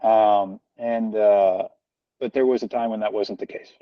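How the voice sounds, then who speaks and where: A man's voice: on the low side, steady tone, a little slow, dry recording. An American man talking on a video call from home, earnest and rambling, with many fillers and restarts. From United States. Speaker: guest, founder of a social organization. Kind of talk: casual conversational interview. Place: home, family moving about behind him. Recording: dull, lo-fi video call audio.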